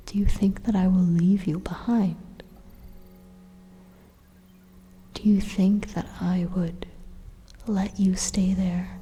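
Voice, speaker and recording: A woman voicing a sinister, condescending AI supercomputer in a breathy, half-whispered ASMR monologue, slow, deliberate and menacing. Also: fairly low pitch, dark tone, a little hiss or hum. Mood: calm menace. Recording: reverberant hall-like echo.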